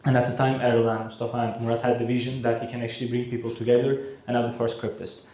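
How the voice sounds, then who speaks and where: Young Turkish-accented co-host, mid-range voice, earnest speech, heavy hall echo. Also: male voice, mid-range voice, flat delivery, fast, dark tone. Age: 20s. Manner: earnest, with frequent uhs. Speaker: conference co-host. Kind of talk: welcome speech on stage. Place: conference hall. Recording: very echoey hall.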